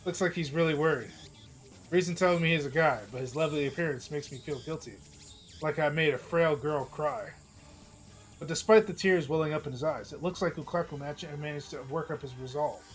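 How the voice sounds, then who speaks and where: A hoarse man streaming, reading a visual novel aloud in a casual, chatty way with small slips. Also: on the high side, brisk. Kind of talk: narrating a visual novel live.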